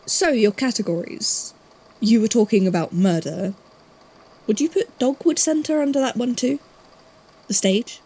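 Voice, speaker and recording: A woman in a podfic audio drama playing a probing, dryly self-deprecating questioner with a soft, husky voice. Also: fairly high pitch, expressive, a little slow, long pauses, heavily acted, low-quality recording. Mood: curious, dry.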